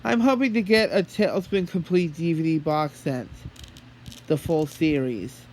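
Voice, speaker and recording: A man talking in an earnest, halting way, as if holding a one-sided phone conversation. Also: on the high side, a little mellow, a little hiss or hum. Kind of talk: one-sided pretend phone call, rambling monologue.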